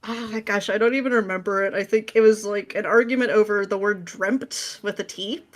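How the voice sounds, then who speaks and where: A woman's voice: mid-range voice, fairly even intonation, normal speed. A woman talking as a podcast guest in an informal, wry, joking conversational manner. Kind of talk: casual chat.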